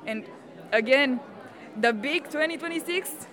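High-pitched young woman in busy crowd. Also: unhurried. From Romania. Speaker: interviewee. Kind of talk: conversational interview answers. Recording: busy public space, crowd chatter. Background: crowd chatter.

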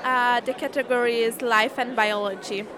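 A woman's voice: a little high, steady tone, normal speed. Teen girl amid crowd chatter. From Hungary. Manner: hesitant, many fillers and restarts. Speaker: student presenting a science project. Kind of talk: casual interview. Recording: crowd noise behind.

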